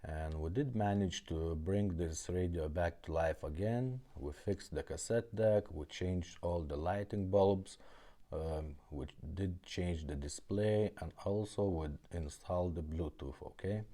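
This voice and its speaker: Deep-voiced man giving a casual demo. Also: measured pace, mellow. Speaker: repair shop presenter demonstrating a product. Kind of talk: casual product demonstration, explaining step by step.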